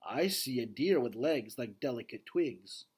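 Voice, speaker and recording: A man voicing a gentle, wistful and earnest adult gorilla from a children's storybook, with hushed, dramatic phrasing. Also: medium pitch, animated, unhurried, slightly rough, pitched below the performer's own voice. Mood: calm, reflective. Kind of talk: children's novel read aloud, first person.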